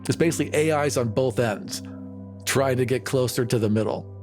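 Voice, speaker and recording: A man with a medium-pitched voice plays a tabletop game. He talks through his ideas in casual table talk. Music is heard behind him. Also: normal speed.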